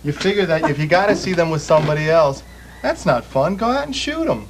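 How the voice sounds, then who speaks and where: A man's voice: fairly high pitch, sing-song, fairly quick, slight background noise. A man joking around while being interviewed as a musician. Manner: joking, wisecracking. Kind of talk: comic interview banter.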